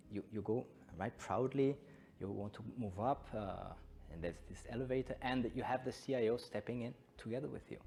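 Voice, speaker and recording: Man, German accent, middle-aged, lively varied intonation, conversational conference speaker, music in the background. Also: mid-range voice, gravelly. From born in Belgium, studied in Germany, long in Brazil. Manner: conversational, engaging the audience with questions. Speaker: Scrum trainer and conference speaker. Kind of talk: informal interactive conference talk.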